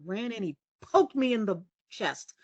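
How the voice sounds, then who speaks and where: A middle-aged woman journalist from the US, telling candid stories as a podcast guest in a low, resonant voice. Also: a little slow, no room sound, lo-fi sound. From United States. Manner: lively, candid and self-deprecating, laughs often. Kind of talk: casual storytelling interview.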